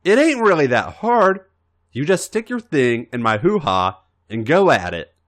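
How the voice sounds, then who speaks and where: Man voicing a flustered, excitable, bossy young lover. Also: a little high, measured pace, voice pushed up. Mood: exasperated.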